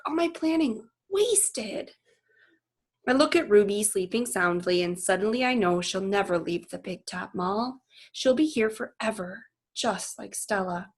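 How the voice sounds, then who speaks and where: A woman's voice: mid-range voice, husky. A woman voicing an earnest, anguished male gorilla who narrates his own storybook tale in short, emphatic phrases. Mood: dejected, resolved.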